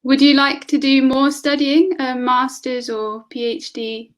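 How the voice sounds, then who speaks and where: High-pitched woman tutoring on a call. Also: level tone, unhurried. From England. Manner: asks lots of questions, laughs often. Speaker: online English tutor. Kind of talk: casual conversation lesson. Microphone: video call.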